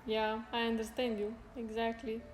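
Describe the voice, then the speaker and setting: A woman whose first language is Spanish, chatting casually with viewers on a live stream, unhurried and pausing to search for words. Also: on the high side, monotone, slight background noise. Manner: unhurried, pauses to search for words, light laughs. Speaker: fitness streamer. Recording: roomy echo with faint music.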